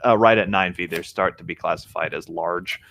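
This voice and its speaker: A man's voice: low-pitched, moderate pace, fairly bright tone. A man co-hosting a read-along show, moving between casual chat and reading a story aloud.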